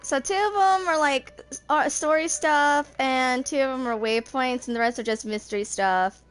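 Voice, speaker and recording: Young woman with a higher voice, music behind. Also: moderate pace, rough recording. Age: 20s. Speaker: gamer video host. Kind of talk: casual gameplay commentary, talking to herself. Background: game music.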